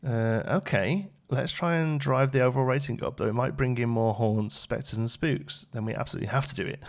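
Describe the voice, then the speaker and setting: A man's voice: mid-range voice, a little fast, creaky. Chatty man doing gaming commentary. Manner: chatty, thinks aloud. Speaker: gaming video host. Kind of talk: casual let's play commentary.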